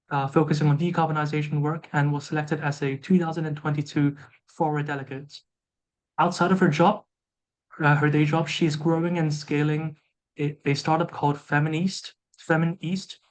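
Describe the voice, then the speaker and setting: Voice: mid-range voice, rough recording. A webinar host from Hong Kong introducing a guest and reading out the chat, hesitant, with many fillers.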